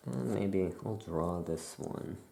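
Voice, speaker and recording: A soft-spoken ASMR performer playing a laid-back witch shopkeeper, musing aloud in an unhurried, creaky voice. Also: low-pitched. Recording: faint background noise.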